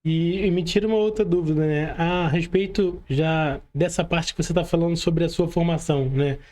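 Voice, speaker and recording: A man's voice: a little high, steady tone, normal speed, a little mellow. A young Brazilian man hosting a podcast casually, informal and slangy, often checking that his guest agrees. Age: young adult. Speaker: podcast host.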